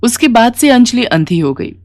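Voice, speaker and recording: A woman's voice: a little high, sing-song, normal speed. A woman storyteller reading a scripted moral tale.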